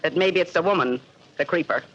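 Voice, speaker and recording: A woman's voice: sits low, fairly quick, fairly bright tone, slightly rough. An actress playing a falsely sweet, chattering neighbor woman with a hidden menace, in 1940s radio acting style. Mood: sugary. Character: sinister gossipy neighbor woman, sugary and menacing. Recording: muffled old radio recording with faint hiss.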